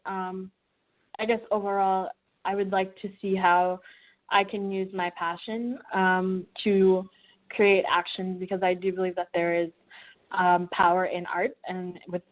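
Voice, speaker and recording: A woman's voice: mid-range voice, level tone, a little slow. A young woman from the American Midwest, a filmmaker and student being interviewed, speaking reflectively with many fillers and restarts. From Midwestern US, Wichita, Kansas. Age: young adult. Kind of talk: interview over a call. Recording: tinny phone-like line.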